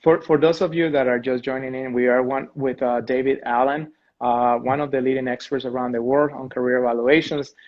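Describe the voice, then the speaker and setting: A man hosts a casual online interview, asking friendly questions and restarting his sentences as he goes. His voice comes through a dull, muffled, lo-fi connection. His pitch sits in the middle range. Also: fairly even intonation, fairly quick, few pauses. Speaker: interview host.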